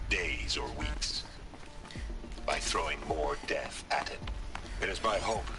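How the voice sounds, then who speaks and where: A man's voice: extremely low pitch, vocal fry, far from the mic, exaggerated voice, rough recording. An actor playing a remorseful man who records a grave final confession in a video game. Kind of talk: dramatic monologue in a video game.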